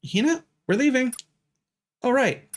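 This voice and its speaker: A man's voice: extremely high pitch, animated, measured pace, pitched above the performer's own voice. A man voicing earnest teenage schoolgirl characters as he reads out the dialogue of an anime fantasy game. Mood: focused, brisk.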